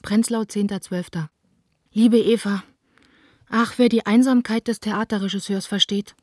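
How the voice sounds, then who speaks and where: Radio drama heroine, played by a woman: young adult, mid-pitched mellow voice, intimate and earnest, dry studio. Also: steady tone, a little slow, no room sound, natural voice. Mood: wistful. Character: lovelorn, reproachful young woman, theatre director. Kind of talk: radio play letter reading.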